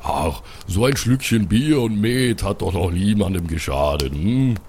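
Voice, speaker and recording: A man acts out a hearty undead Viking warrior from a fantasy audio play. The voice is low and gravelly, coaxing and boisterous like a merry drinking companion. There is faint background noise. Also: expressive, a little slow, a little mellow. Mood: coaxing, jovial.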